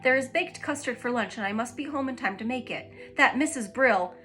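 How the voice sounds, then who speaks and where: A woman's voice: on the high side, a little fast, pushed and bright, faint music behind, voice pushed up. A woman voicing a prim, proper nanny who speaks politely but curtly. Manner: polite but curt and firm.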